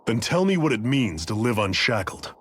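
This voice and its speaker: A man playing a stern, principled resistance mentor in a video game cutscene, speaking firmly and gravely. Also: mid-range voice, big pitch swings, a bit bright. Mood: challenging, firm. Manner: firm, measured, admonishing.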